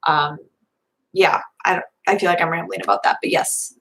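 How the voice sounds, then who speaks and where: A young woman in her twenties chatting casually through viewer questions, rambling with lots of fillers, her voice slightly hoarse. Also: lowish voice, steady tone, normal speed, a bit bright. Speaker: vlogger answering viewer questions. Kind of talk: casual live Q&A chat.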